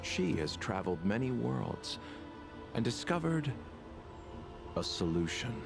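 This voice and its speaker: An actor playing a polite, scheming male healer in a game, arguing his case calmly and persuasively. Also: medium pitch, unhurried, gravelly. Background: game music.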